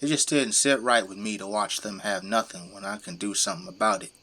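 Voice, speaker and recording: A man's voice: fairly low pitch, fairly even intonation, brisk, pushed and bright. An actor playing a middle-aged, devoted father and plain-spoken government zombie fighter in an audio drama. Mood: earnest, reminiscing. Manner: commanding, plain-spoken, folksy turns of phrase. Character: gruff, devoted father working as a zombie-burning government janitor.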